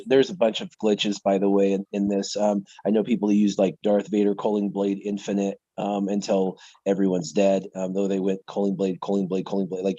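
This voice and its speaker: A man who streams the game, talking casually on a live panel and telling a rambling, animated story. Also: on the low side, breathy edge, dry recording, lo-fi sound.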